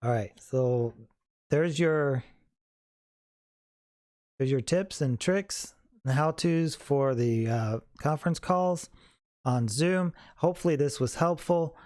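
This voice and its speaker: Casual man with a mellow, dry sound. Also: fairly high pitch, slow pace, pauses often, no room sound. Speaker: host of a how-to tutorial. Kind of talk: casual, unscripted software tutorial.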